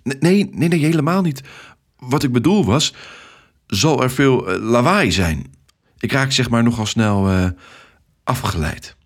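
A man plays an awkward, polite fellow in a children's audio story. He is nervous and earnest, speaking hesitantly in a husky voice. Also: mid-range voice, lively intonation, a little slow, pauses often, pitched above the performer's own voice.

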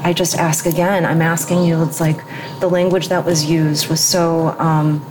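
A woman with a low, grounded voice interviews in a reflective way. She muses aloud, piles up rhetorical questions and trails into fillers. There is a steady noise behind her. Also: normal speed. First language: English. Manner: thoughtful, musing, many fillers and rhetorical questions. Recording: noticeable background noise.

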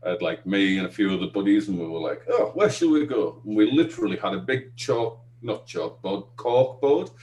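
A man's voice: lowish voice, a little fast, hardly any pauses, darkish tone. A man in his forties with a Northern English accent, chatting casually as a podcast guest and telling anecdotes. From Northeast England, UK. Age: 40s. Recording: roomy sound with faint hum.

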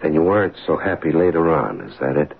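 A weary American police detective in an old-time radio play. His voice is gravelly and swings widely in pitch as he talks in halting, colloquial, wry phrases. The sound is thin and band-limited, like an old broadcast. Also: very animated, phone-quality sound, exaggerated voice. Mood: awkward, probing. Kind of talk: old-time radio drama acting, first-person narration and dialogue.

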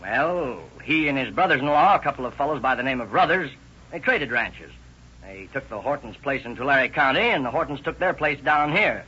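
An actor playing a gossipy country neighbor, hesitant and folksy, in 1930s radio drama style. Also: male voice, mid-range voice, expressive, fairly quick, bright, forceful tone, husky, heavily acted. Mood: gossipy, helpful. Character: rambling, gossipy male country neighbor witness. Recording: muffled vintage radio recording.

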